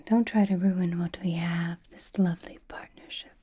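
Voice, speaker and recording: A woman voicing a flirtatious, teasing, possessive vampire in a soft ASMR roleplay, whispering breathily close to the microphone. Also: lowish voice, fairly even intonation, husky. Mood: guarded, coaxing. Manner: slow, soothing, coy teasing.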